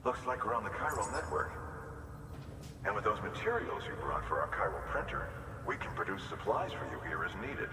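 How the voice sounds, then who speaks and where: Low-voiced friendly outpost worker. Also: male voice, big pitch swings, fairly quick. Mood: grateful. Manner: conversational, admiring. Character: appreciative male outpost worker, friendly and admiring. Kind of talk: video game cutscene dialogue. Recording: echoey hall, distant, noisy background.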